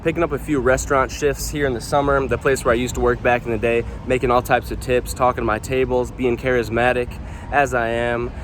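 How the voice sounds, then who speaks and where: A man's voice: medium pitch, fairly quick, hardly any pauses, rough recording. A young American man telling stories in a casual, slangy, rambling vlog style, acting out conversations as he goes. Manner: animated storyteller, acts out quoted conversations, slangy and swearing. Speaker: vlogger. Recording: outdoor street noise with passing cars.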